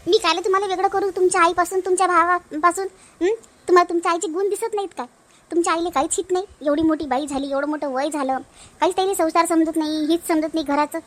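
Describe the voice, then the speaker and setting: Casting: aggrieved young wife acted by a woman, extremely high voice, Varhadi Marathi accent, lamenting and nagging, cartoon comedy. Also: steady tone, quick pace. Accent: Varhadi Marathi from Maharashtra. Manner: complaining, lamenting. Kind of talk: hobby cartoon comedy dialogue.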